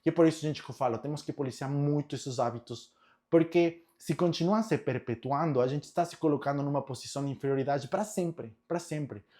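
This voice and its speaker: A man's voice: fairly high pitch, fairly quick, warm tone. A man from Ecuador, a native Spanish speaker with a Latin American accent, giving an opinion monologue to his followers and repeating key words for emphasis. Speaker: social media content creator.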